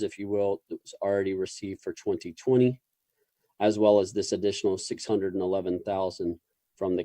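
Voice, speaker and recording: A man with a lowish, relaxed voice delivers a formal government briefing. His phrasing is measured and bureaucratic, with some hesitation. The sound is close and dry. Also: no echo. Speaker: public official presenter.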